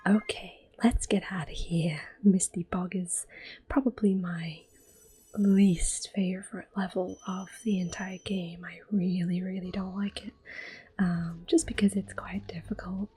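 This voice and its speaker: A woman giving gentle, unhurried ASMR gameplay commentary in a soft, whispered voice, reassuring and close to the microphone. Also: fairly low pitch, steady tone, slightly rough, faint music behind. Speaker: ASMR gaming host.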